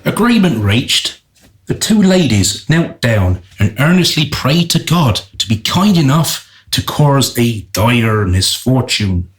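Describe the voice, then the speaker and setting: A man's voice: mid-range voice, big pitch swings, slow, low-quality recording. A man playing an arch, playful narrator of a comic historical radio play. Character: arch, playful male storyteller of a historical farce.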